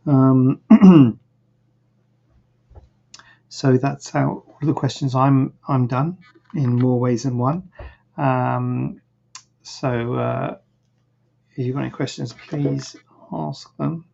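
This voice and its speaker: Man, very gravelly, husky, croaky, rambling with fillers, dull lo-fi livestream audio. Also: mid-range voice, steady tone, takes it slowly, pauses often, warm tone. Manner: rambling, hesitant, many fillers and restarts. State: a bit croaky, clearing throat. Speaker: plastic surgeon hosting a live Q&A. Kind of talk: casual live Q&A answering viewer questions.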